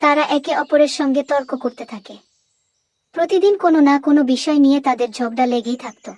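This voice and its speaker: A woman narrating a moral story for children in a clear, didactic storytelling manner. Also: high-pitched, fast talker, darkish tone, no room sound.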